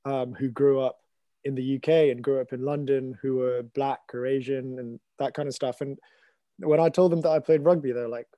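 Young man with a mellow, relaxed voice. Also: medium pitch, moderate pace, no echo. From Hong Kong. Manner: earnest, loose phrasing. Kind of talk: casual discussion.

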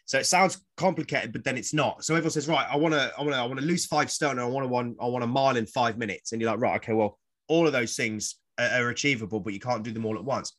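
A man's voice: mid-range voice, fast talker, a bit bright. A man in his forties, a fitness trainer chatting casually and rambling, repeating words as he explains. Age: 40s. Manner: rambling, repeats words, acts out quoted voices. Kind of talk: casual podcast chat.

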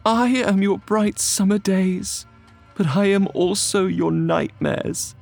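Casting: male storyteller as a female snow spirit, high-pitched, dramatic, wounded and threatening, music underneath. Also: slow pace, vocal fry, full character voice. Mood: anguished confession. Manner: impassioned, accusing, dramatic. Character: anguished snow-spirit wife, grieving, vengeful and threatening.

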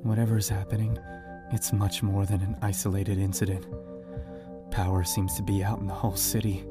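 Over prominent music, a man performs a grim apocalypse survivor with a lowish voice. He is anguished and profane, a haunted brother in a horror audio drama. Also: close to an everyday voice. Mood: grim, stunned. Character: panicked, grieving young man, survivor of a zombie apocalypse.

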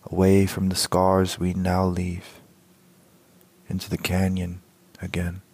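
A man reciting a poem in a measured, solemn manner. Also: sits low, monotone, a little mellow, husky, no room sound. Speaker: poet. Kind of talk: poetry recitation.

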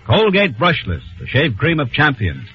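A man's voice: fairly high pitch, wildly swooping pitch, normal speed. An American man as a 1940s radio commercial announcer, selling in punchy slogans. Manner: punchy, slogan-driven salesmanship. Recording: muffled old radio broadcast with music.

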